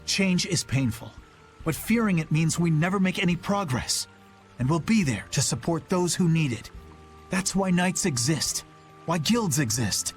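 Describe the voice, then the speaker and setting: An actor playing a noble, earnest young knight in a fantasy video game. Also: male voice, fairly high pitch, expressive, unhurried, faint music behind. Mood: earnest resolve. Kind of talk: dramatic video game voice acting.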